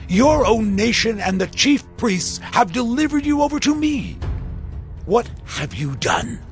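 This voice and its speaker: A high-voiced, exasperated Roman governor. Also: male voice, big pitch swings, measured pace, faint music behind, exaggerated voice. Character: Pilate, questioning Roman governor, exasperated authority. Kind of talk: dramatized scripture acting.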